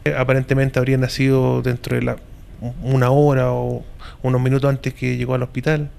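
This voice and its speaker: A middle-aged Chilean man speaks as a hospital director into a cluster of mics indoors. His voice is strongly gravelly and almost monotone, matter-of-fact throughout. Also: mid-range voice, brisk. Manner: flat, matter-of-fact clinical report. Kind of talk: press statement. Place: indoor office. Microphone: several handheld reporter mics.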